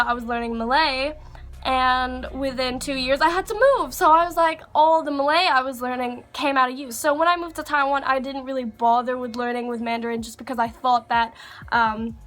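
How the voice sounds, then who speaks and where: A woman's voice: high voice, a bit bright. A young woman vlogging to her camera in a casual, chatty way, with an international English accent that is mostly American with a touch of Australian. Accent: third culture kid English, mostly American with some Australian. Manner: casual and chatty, with fillers and likes. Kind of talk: sit-down chat to camera.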